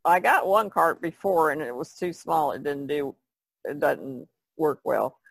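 A woman's voice: low voice, unhurried, vocal fry, breathy edge, no room sound. A woman chatting casually as a podcast panelist, with a folksy way of talking. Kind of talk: casual chat.

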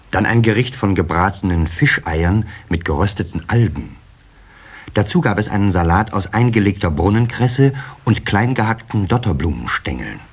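Husky low-voiced storyteller man, very lively delivery. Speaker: narrator. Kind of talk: scripted storybook narration for a children's audio play.

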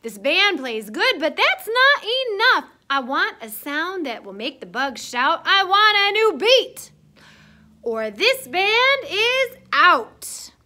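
A woman's voice: extremely high pitch, very animated, takes it slowly, sharp tone, voice pushed up, full character voice. A woman voicing a haughty, huffy queen bee who runs a club in a children's storybook tale. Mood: demanding, huffy. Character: imperious, demanding female queen bee club owner.

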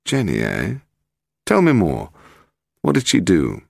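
Man playing a low-voiced, wry gentleman detective. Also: wildly swooping pitch, a little slow, gravelly, no room sound, clean studio sound, heavily acted. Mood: curious.

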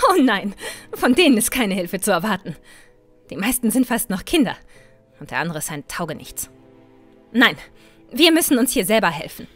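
A woman's voice: medium pitch, big pitch swings, moderate pace, pauses often, a bit bright, faint music behind. A woman playing a headstrong, impatient riverboat adventurer in a fantasy audio drama, defiant and emphatic. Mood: impatient, scornful. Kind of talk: fan-made fantasy audio drama acting.

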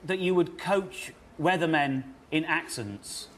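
A man in his thirties hosting a comedy panel show, chatty and quick to laugh as he prompts his guest. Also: on the high side, heavy background noise. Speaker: game show host. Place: TV studio with a live audience. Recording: loud studio audience behind.

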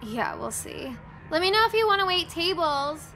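A woman's voice: extremely high pitch, very animated, moderate pace, sharp tone, soft background music, full character voice. A woman voicing a cool, scornful young waitress in a visual novel, curt and mocking. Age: young adult.

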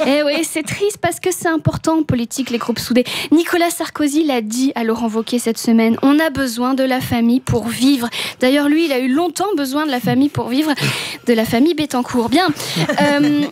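French woman in her 30s, high voice, cheeky radio humour bit, tossing out punchlines. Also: brisk, darkish tone. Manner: playful, tongue-in-cheek, punchline delivery. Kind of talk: scripted comic monologue with banter. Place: small indoor radio studio. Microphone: close studio broadcast microphone.

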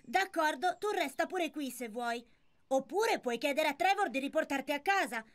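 A woman's voice: sits high, lively intonation, moderate pace. A woman voicing a cheeky, scheming young boy in a children's cartoon dub. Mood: sly, teasing.

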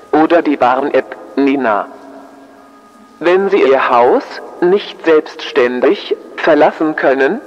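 A man giving a formal fire brigade public warning in short, deliberate phrases over a muffled, echoing loudspeaker. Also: fairly high pitch. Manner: slow, clipped, deliberate phrasing. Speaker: fire brigade emergency announcer. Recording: siren loudspeaker with long echo, muffled.

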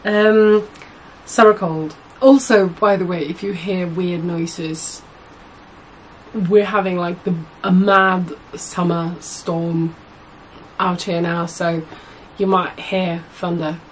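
Husky young woman with a cold. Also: lowish voice, fairly even intonation, slow pace, slight background noise, rough recording. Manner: chatty, rambling, many fillers and self-corrections. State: hoarse from a cold. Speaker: sewing vlogger. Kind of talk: casual chat to camera. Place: small indoor room at home.

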